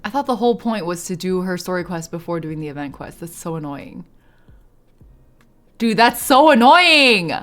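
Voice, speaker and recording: A woman streaming a video game, chatting casually in an animated, slangy way and reacting aloud. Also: on the low side, fast, hardly any pauses. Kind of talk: casual live stream chat with gameplay reactions. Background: game background music.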